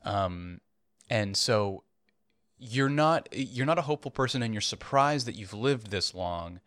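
A man in his thirties co-hosting a podcast, halting and agreeable in casual chat. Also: fairly low pitch, lively intonation, a bit bright, no echo, studio quality. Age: 30s. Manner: halting, repeats words, agreeable. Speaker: podcast co-host.

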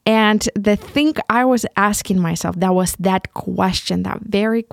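A woman's voice: medium pitch, vocal fry, no echo. Young ukrainian woman, accented english, solo podcast chat, lots of stops and restarts, dry close sound. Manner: halting, with many restarts and repeated words. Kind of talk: casual solo podcast monologue.

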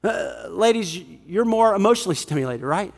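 A male pastor with a high-pitched voice preaches in a long, ringing hall reverb. His delivery is conversational and animated, acting out little quotes in everyday slang. He checks in with listeners and repeats phrases to drive them home. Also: brisk. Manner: animated, acts out imagined quotes in casual slang; rhetorical check-ins and repetition for emphasis. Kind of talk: conversational evangelical sermon.

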